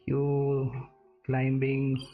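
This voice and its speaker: A man's voice: mid-range voice, monotone, very slow, phone-quality sound. A man streaming a video game, giving casual commentary in offhand, murmured asides. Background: game music.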